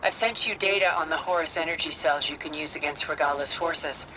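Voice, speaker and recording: A woman voicing a cool, aloof, secretive ally in a video game, dry and measured, with noise behind her. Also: low voice, natural voice, low-quality recording. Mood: cool, guarded.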